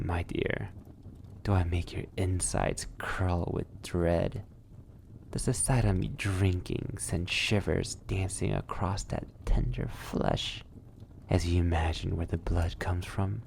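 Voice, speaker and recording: A man playing a suave, sadistic vampire lord in an intimate ASMR roleplay, formally polite, breathy and teasing. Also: low voice, darkish tone, a little hiss or hum, full character voice. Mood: menacing, mocking.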